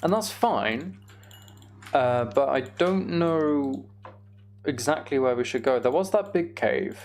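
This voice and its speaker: A young man giving casual, meandering gameplay commentary on a live stream, thinking aloud and chatting to viewers, with a creaky voice. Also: medium pitch, expressive, slow, a little mellow, slight background noise.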